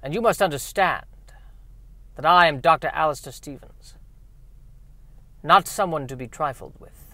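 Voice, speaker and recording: Male performer as pompous human doctor, sci-fi radio drama. Declamatory, defiant of alien foes, fairly high pitch. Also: moderate pace, sharp tone, dry recording. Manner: declamatory, grandiose. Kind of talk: sci-fi radio play acting.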